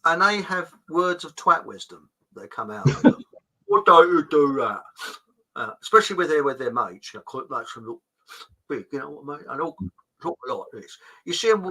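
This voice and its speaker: A man's voice: fairly high pitch, bright, forceful tone, rough recording. A middle-aged man, hosting a casual chat and telling rambling anecdotes with frequent laughter and mild swearing. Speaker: podcast host.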